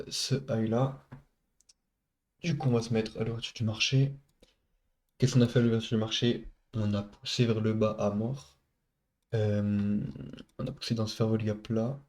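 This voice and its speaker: A man's voice: fairly low pitch, a little mellow. A man with a head cold and a stuffy nose, casually talking viewers through his trade with lots of hesitations. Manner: casual, many hesitations, talks to viewers as buddies. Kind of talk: casual screen-recorded trade recap.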